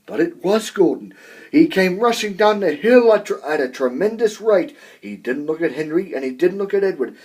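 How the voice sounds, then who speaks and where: A man narrating a children's story aloud in an expressive storytelling style. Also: high voice, normal speed, breathy edge. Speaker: amateur story reader.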